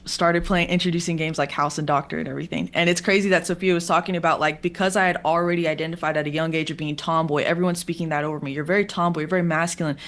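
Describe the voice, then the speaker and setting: A woman with a raspy voice recounting her childhood in a conversation, hesitant, with many fillers and restarts. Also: low voice, steady tone, racing, few pauses, a bit bright. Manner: hesitant, filler-heavy storytelling. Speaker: guest in a clip. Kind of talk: personal testimony in conversation.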